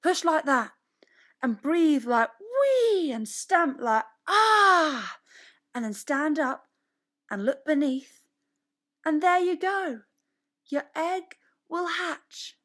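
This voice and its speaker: A woman voicing friendly storybook farm chickens giving eager, emphatic instructions in a drawn-out sing-song. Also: high voice, animated, a bit bright, pitched above the performer's own voice, heavily acted. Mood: eager, encouraging.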